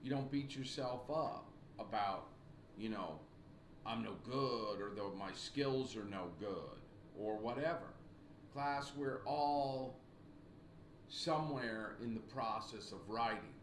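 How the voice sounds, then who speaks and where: An older man in his sixties records a class lecture at a webcam in a small room at home. He teaches slowly and deliberately, repeating his points and clearing his throat between phrases. The room sounds echoey, with a faint hiss behind him. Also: medium pitch. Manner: slow, repetitive, encouraging teacher talk with frequent throat clearing. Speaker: college writing instructor. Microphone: webcam at desk.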